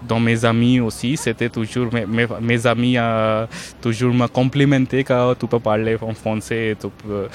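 North Indian man, Hindi native, halting non-native French, mid pitch, faint noise behind. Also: flat delivery. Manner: hesitant learner's French, many restarts and fillers. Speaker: interview guest. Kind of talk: casual conversational interview.

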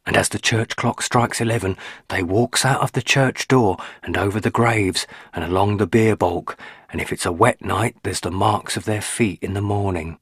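A man's voice: lowish voice, normal speed, breathy edge, no room sound, clean studio sound. A man voicing an elderly, stubborn, superstitious rustic housekeeper woman who speaks in country dialect. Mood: ominous. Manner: rustic dialect grammar, hushed ominous.

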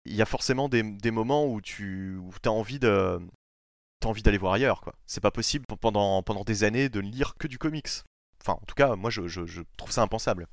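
A man's voice: on the low side, fairly quick, no echo, studio quality. A man from France hosting a casual comics podcast, talking loosely with many hesitations and the odd swear word. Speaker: podcast host.

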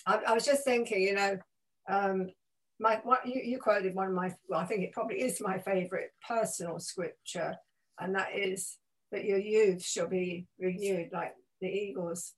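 An elderly woman of eighty speaks as a pastor and radio host. Her pitch is average for a woman, and she talks in a casual, reflective way, sharing personal anecdotes with small exclamations. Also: steady tone, moderate pace, poor audio.

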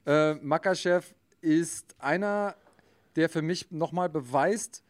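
A man's voice: on the high side, darkish tone. A man co-hosting a podcast who explains his view at length, thinking aloud with frequent fillers. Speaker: podcast co-host. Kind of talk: casual chat.

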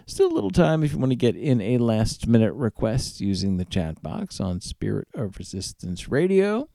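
A man's voice: mid-range voice, big pitch swings, moderate pace, vocal fry, dark tone, no echo, clean studio sound. A man hosting a music radio show, casually back-announcing tracks in an informal, conversational manner.

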